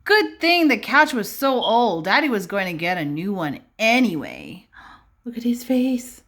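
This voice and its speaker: Animated woman reading aloud to young children. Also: on the high side. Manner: expressive, slow and instructive, often checking with rhetorical questions. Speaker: early-years classroom teacher reading a picture book aloud.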